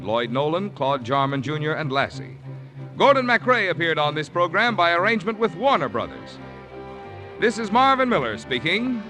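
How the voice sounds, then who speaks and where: A man reading scripted announcements as a 1940s radio announcer. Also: fairly high pitch. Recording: 1940s radio broadcast over music.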